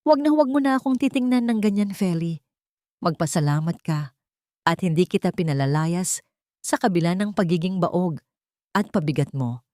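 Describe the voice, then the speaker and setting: A woman's voice: lowish voice, expressive, moderate pace, long pauses, dark tone, no echo, clean studio sound, close to an everyday voice. A woman voicing a contemptuous, domineering older mother-in-law in a drama story, haughty and scolding. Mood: menacing, contemptuous.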